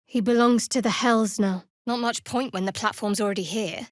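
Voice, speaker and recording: A woman voicing a guarded, sardonic young female cleric in a fantasy video game, with a dry, measured, wry delivery. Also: on the high side, fairly even intonation, no echo, lightly acted. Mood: guarded, cool.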